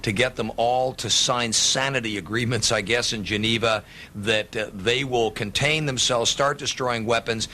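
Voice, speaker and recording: A man's voice: steady tone, normal speed, bright, forceful tone. A man arguing bluntly as a guest in a political radio discussion. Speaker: political talk show guest. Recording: clear background noise, low rumble.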